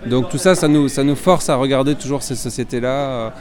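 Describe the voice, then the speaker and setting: A man's voice: medium pitch, fairly quick. A French man, a filmmaker, answering interview questions outdoors, hesitant and full of fillers. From France. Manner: hesitant, many fillers and restarts. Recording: loud crowd behind. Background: crowd noise.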